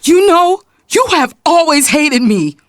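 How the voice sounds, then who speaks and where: An actress playing a defiant, wounded adult daughter who is cutting and sarcastic in an audio drama. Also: female voice, high-pitched, sing-song, slow, exaggerated voice. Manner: sarcastic, taunting.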